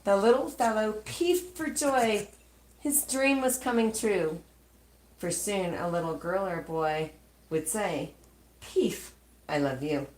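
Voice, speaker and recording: A woman reading a rhyming children's storybook aloud, with dramatic pauses between short phrases. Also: medium pitch, darkish tone, roomy sound, slight background noise.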